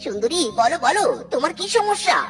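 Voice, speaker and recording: A lovestruck cartoon bridegroom, played by a woman, pitched very high. He gushes in an exaggerated, excitable way over clearly audible music. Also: quick pace. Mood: eager wooing. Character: excitable, lovestruck male cartoon suitor with silly catchphrases. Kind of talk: cartoon story dialogue. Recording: music behind the voice.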